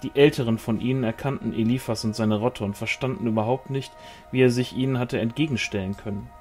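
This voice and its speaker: A man's voice: mid-range voice, steady tone, quick pace, darkish tone. Man narrating a novel over music. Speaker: audiobook reader. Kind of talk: scripted novel narration with acted dialogue.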